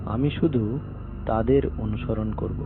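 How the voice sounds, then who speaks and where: Man doing a greedy cartoon villager, slow and gravelly, sly and gloating, lo-fi phone-like sound. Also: on the low side, steady tone, music in the background, natural voice. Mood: scheming. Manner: sly, gloating, plotting to himself.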